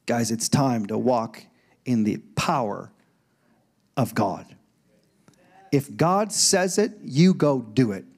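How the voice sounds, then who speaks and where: A man in his forties preaching a sermon on a church stage into a handheld microphone, swinging between loud emphatic lines and quiet conversational asides. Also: medium pitch, animated, takes it slowly, long pauses, husky. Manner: preaching with rising and falling intensity, conversational asides. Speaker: pastor.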